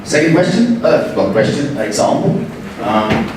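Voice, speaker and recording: A man's voice: fairly low pitch, very animated, a little slow. A man from Belgium giving a conference talk, hesitant, with many repeated words and restarts. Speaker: panel speaker. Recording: echoey hall, distant mic, background noise.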